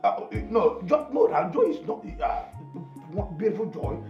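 An actor with a Nigerian accent playing an excitable, fickle friend in a comic film, exclaiming, repeating himself and stammering. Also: male voice, high-pitched, unhurried, echoey room, lo-fi sound, soft background music, exaggerated voice. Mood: flustered, placating. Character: excitable, food-loving male friend, comically fickle peacemaker. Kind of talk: broad comic film acting.

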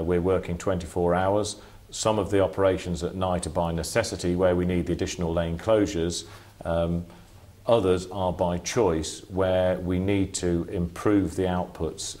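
A man in his forties speaking in a steady, businesslike way in a corporate interview. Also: sits low. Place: indoor office with display boards.

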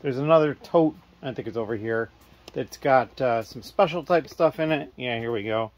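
A man's voice: mid-range voice, normal speed. Rambling man filming while unpacking. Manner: rambling, filler-heavy, thinking aloud. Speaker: vlogger, toy brick reseller. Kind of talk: casual vlog narration. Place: cluttered basement storage room, indoors.

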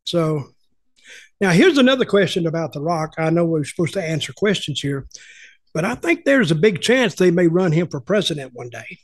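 A man's voice: fairly high pitch, big pitch swings, moderate pace, dry recording. An older man, telling folksy, opinionated stories in casual chat over a video call from home. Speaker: podcast host and storyteller.

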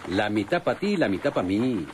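An actor playing a greedy, scheming rural outlaw in a radio drama, with a drawling, mocking northern Mexican accent. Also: male voice, fairly low pitch, very animated, brisk. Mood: sly, cajoling. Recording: noisy old radio drama recording.

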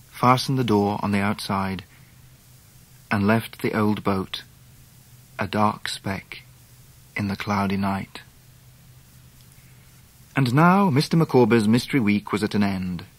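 English gentleman narrator, lowish voice, composed, scripted radio drama storytelling. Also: slow pace, pauses often, no room sound. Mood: reflective. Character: grown gentleman recalling his life, composed.

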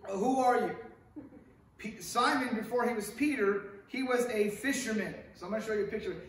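High-voiced preacher in a very echoey church. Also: male voice, normal speed, slight background noise. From Hollywood, California. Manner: animated, wide hand gestures, asks the congregation questions. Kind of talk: conversational sermon. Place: church sanctuary with stone wall, at a lectern. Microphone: stand mic at the lectern, camera far off. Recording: very echoey sanctuary from far off.

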